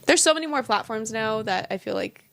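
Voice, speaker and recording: Gravelly young woman from Hawaii. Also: on the high side, animated, fairly quick, fairly bright tone, slight background noise. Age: 20s. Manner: rambling, storytelling with fillers and laughs. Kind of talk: casual chat.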